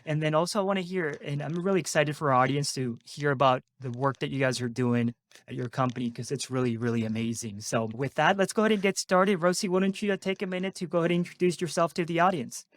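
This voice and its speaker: A man's voice: on the high side, fast, no echo. A man from Colombia hosting a finance podcast, upbeat and encouraging, often repeating his phrases. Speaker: podcast host. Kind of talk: podcast interviewing.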